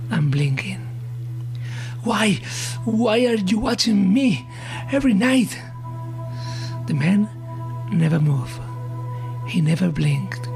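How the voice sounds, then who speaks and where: A man reading scripted horror narration in a suspenseful, often breathy manner. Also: fairly high pitch. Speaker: story narrator.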